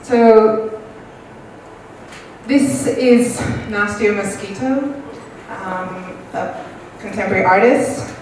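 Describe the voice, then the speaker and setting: Woman guest speaker, reflective with many ums, mid-pitched, heard from across a very echoey, noisy room. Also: takes it slowly, a little mellow, distant mic. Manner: thoughtful, with frequent ums. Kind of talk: public talk.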